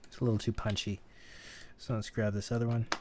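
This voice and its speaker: A man's voice: on the low side, level tone, fairly quick, background noise. A man teaching sound editing in a casual, think-aloud tutorial, with keyboard clicks behind him. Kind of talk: screen-recorded tutorial.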